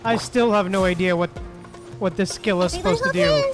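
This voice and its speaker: A man's voice: high-pitched, sing-song, normal speed, over music. A man giving casual gameplay commentary as a streamer, murmuring to himself and often chuckling. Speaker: streamer playing a game.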